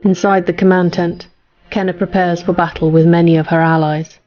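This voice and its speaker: A woman narrating a story in an even, steady way. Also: fairly low pitch, normal speed, muffled recording.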